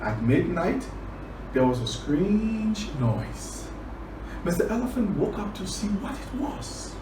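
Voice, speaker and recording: A man from Liberia telling a children's story and teaching words, deliberate, repeating phrases for emphasis. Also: high-pitched, sing-song. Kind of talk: children's storytelling and word lesson. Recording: roomy, distant, clearly noisy recording.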